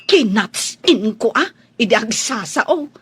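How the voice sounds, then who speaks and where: High, animated cheeky young housemaid played by a woman. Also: slow pace, breathy edge, full character voice. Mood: cheeky, insistent. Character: cheeky chatty young housemaid, evasive with her madam. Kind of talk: radio drama acting.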